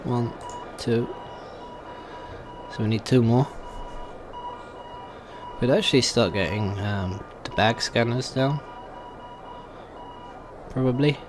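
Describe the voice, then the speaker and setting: A man's voice: mid-range voice, expressive, takes it slowly, pauses often, music in the background. A man giving casual let's play commentary, thinking aloud in a hesitant way as he goes. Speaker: gaming video host.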